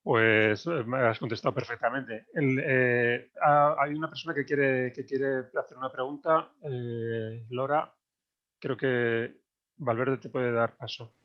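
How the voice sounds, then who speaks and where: A man moderates a live online conference and briefly hands the floor to the next questioner. He is brief and attentive and speaks at a middle pitch. His audio sounds dull and lo-fi. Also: steady tone, a little slow.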